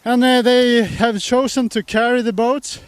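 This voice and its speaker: High-voiced man reporting on the move. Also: flat delivery, smooth, no echo. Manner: halting, many uhs, walking uphill while talking. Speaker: trackside race reporter. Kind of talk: live walking commentary. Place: outdoors on a grassy hillside. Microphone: handheld microphone.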